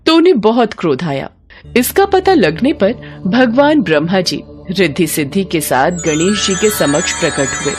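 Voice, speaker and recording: A woman narrating a scripted devotional story in a measured, expressive storytelling manner. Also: medium pitch.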